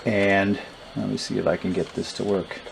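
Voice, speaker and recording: Low-voiced man over clear background noise. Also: level tone, moderate pace. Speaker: vintage radio reviewer demonstrating gadgets. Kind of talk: casual unscripted product demonstration. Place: indoors in a basement. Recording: noisy recording with radio static. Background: radio static and hiss.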